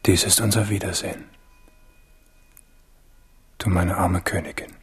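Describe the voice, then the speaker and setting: An actor playing a captive young prince in a German radio drama, grave, earnest and measured. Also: male voice, sits low, level tone, slightly rough, full character voice. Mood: grave, sorrowful.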